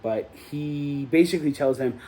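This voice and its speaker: A man's voice: on the high side, moderate pace, mellow. A man hosting his own review show, recapping an episode in a casual, rambling way that often corrects itself mid-sentence. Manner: rambling, loose, self-correcting delivery.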